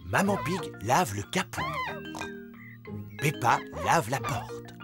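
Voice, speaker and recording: A man acting as the friendly storyteller who narrates a preschool cartoon, expressive and clear for small children. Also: medium pitch, takes it slowly. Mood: cheerful. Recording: music behind the voice.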